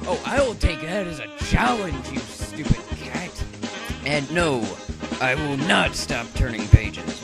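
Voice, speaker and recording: A man's voice: fairly high pitch, heavily acted. A man playing a very angry, combative reader of children's books, arguing with the book in an exaggerated comic read-aloud. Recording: music behind the voice.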